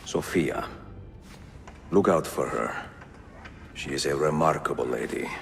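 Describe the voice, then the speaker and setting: An actor playing a seasoned Italian assassin master in a video game, gruff, gallant and measured, with an Italian accent. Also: male voice, rumbling low, full character voice. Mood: earnest, commanding. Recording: clear music behind the voice.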